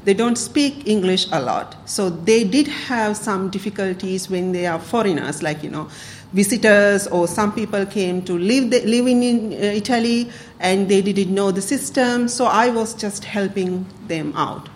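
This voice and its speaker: A woman's voice: medium pitch, moderate pace, a little hiss or hum. A woman from Sri Lanka giving a public talk to a club, speaking hesitantly with many fillers and the odd laugh. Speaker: community council speaker.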